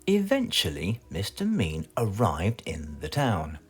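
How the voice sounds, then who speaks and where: A man with a British accent reading a children's storybook aloud in a theatrical, expressive way. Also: sits low, unhurried, breathy edge, soft background music. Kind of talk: animated children's storybook narration.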